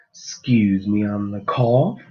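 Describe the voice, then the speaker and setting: A man's voice: lowish voice, sharp tone, muffled recording. A man hosting a casual live-stream sports podcast, his voice a little worn after he nearly lost it, talking in an easygoing, rambling way with many ums and restarts. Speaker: podcast host.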